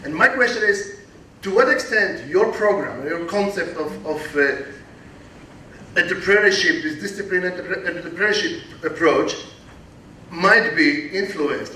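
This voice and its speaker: High bright-voiced man asking from the audience. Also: slight background noise. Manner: halting, searching for words. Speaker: audience member asking a question. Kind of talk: long spoken question at a talk. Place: lecture hall. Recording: echoey hall from far off.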